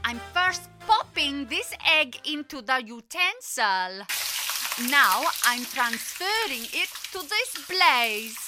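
A woman playing a cheery young cooking video host with a perky, deliberate way of presenting. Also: high-pitched, very animated, over loud music, full character voice. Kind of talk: acted online cooking video sketch.

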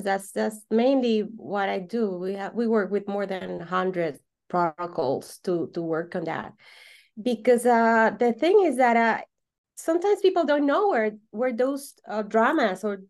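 A woman from Venezuela with a Venezuelan Spanish accent explains things as an interview guest, repeating words for emphasis in halting English. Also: medium pitch, animated, darkish tone. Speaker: podcast guest, holistic coach.

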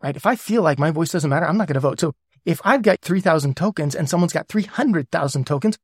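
A man being interviewed as a guest who explains ideas step by step with worked examples. He often checks in with a quick "right?". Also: on the high side, animated, a little mellow, husky, no room sound, clean studio sound. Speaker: guest, governance lead.

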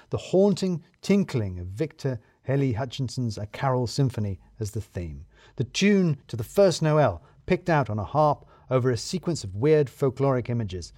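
A man narrating a scripted first-person comic story in a wry, theatrically self-pitying way with deliberate emphasis. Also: medium pitch, mellow, no echo, clean studio sound.

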